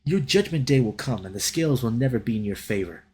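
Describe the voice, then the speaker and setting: Man voicing a fiery, animated scrappy young fox. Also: medium pitch, fast talker, a little hiss or hum, lightly acted. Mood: righteous fury. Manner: impassioned, theatrical.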